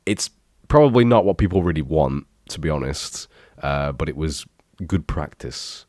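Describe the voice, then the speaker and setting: A man's voice: rumbling low, expressive, moderate pace, darkish tone, no room sound. A young man with a stuffy, bunged-up voice, rambling and joking at his own expense while reacting to his old videos. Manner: rambling, self-deprecating, swears casually. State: congested, bunged up from illness. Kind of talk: casual reaction commentary.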